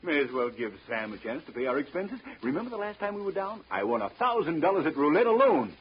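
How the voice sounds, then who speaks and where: Breezy gambler husband, highly animated voice. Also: male voice, fast talker, exaggerated voice. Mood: elated, impatient. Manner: dismissive, smooth-talking. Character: breezy, evasive gentleman gambler, a careless husband. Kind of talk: 1930s radio drama acting. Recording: noisy, dull 1930s radio recording.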